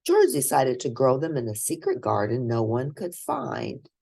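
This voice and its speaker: A woman reading a children's picture book aloud as host, in expressive, deliberate storytelling. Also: rumbling low, vocal fry, breathy edge.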